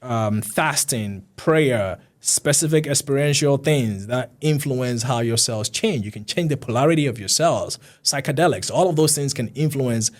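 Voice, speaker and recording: A man's voice: medium pitch, moderate pace, hardly any pauses. A man, a physician guest talking earnestly and often repeating himself in a casual interview. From Ghana.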